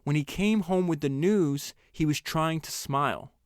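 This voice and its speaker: A man with a smooth, resonant voice hosts a podcast. He reads a book passage and comments on it in an earnest, measured way. The sound is dry and close, with no room echo. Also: on the high side. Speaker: podcast host. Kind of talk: reading a book excerpt aloud and commenting on it.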